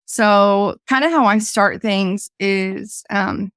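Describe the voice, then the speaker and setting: A woman's voice: mid-range voice, takes it slowly, fairly bright tone, clear, resonant tone, no echo, studio quality. A laid-back, chatty woman hosting a podcast from a room at home on her own desk microphone, while she is losing her voice. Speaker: podcast host. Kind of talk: casual chat. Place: home room with blinds closed.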